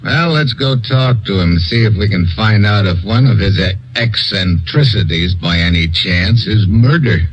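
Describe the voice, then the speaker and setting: An actor playing a deep-voiced wisecracking agent. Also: male voice, animated, moderate pace, hardly any pauses, natural voice. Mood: wry. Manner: dry, wisecracking. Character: wry tough male agent, confident. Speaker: investigator and narrator. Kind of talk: 1950s radio drama acting. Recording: dull old radio recording with music.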